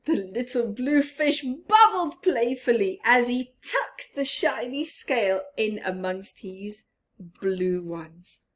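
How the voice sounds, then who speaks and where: Slow, expressive woman storyteller. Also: fairly high pitch. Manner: expressive, dropping often to hushed tones. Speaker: storyteller, teacher-like reader. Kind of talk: children's storybook read-aloud with asides.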